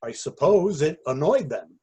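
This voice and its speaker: Casting: male actor for earnest, sheepish amateur raconteur, slightly high husky voice, readers theater comedy. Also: big pitch swings, unhurried, warm tone, exaggerated voice. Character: hapless, earnest man rehearsing a half-baked party anecdote.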